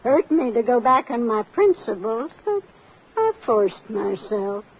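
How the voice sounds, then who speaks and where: A woman's voice: fairly high pitch, sing-song, unhurried, slightly rough, full character voice. An actress playing a brassy, grasping rooming house landlady with sly, slangy talk in an old radio drama. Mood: matter-of-fact, wry. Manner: sly, slangy, uneducated grammar. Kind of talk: old-time radio acting. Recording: muffled, noisy old radio recording.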